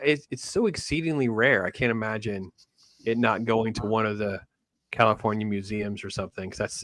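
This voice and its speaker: A man's voice: on the low side, a bit bright. A middle-aged man hosting a livestream in a casual, conversational style. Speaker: livestream host.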